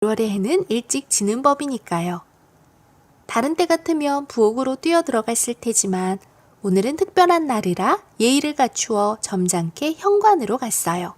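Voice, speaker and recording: A woman narrates a children's story from a script. Her voice sits high and moves widely up and down. She tells it expressively, as if for young listeners. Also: sing-song, normal speed. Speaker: audiobook narrator of children's stories.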